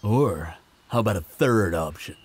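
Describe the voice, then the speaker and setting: A man playing a proud, protective vampire in a fantasy roleplay audio drama, speaking in measured, sardonic threats. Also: lively intonation. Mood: scornful threat. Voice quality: low, gravelly, husky. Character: proud protective male vampire, menacing, sardonic, fond of lover.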